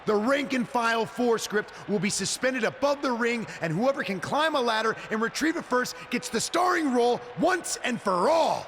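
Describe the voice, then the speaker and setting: A man's voice: very high-pitched, expressive, moderate pace, few pauses, a bit bright. A man playing a showboating wrestler in a video game, delivering a grandiose, theatrical promo with noise in the background. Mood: slick showmanship. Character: showboating male wrestler pitching matches like movie blockbusters.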